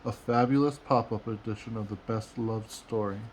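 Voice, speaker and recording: A man reading a children's storybook aloud as a father would, with measured, expressive storytelling. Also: lowish voice, slight background noise, rough recording. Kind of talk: storybook read-aloud in rhyme.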